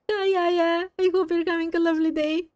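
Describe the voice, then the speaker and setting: A woman live-streaming a game, chatting casually and gently with her viewers in a slightly halting, apologetic way. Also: extremely high pitch, flat delivery.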